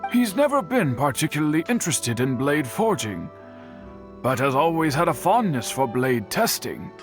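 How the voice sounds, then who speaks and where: An actor playing a courteous, solemn middle-aged swordsmith in a video game, speaking formally and earnestly. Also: male voice, on the high side.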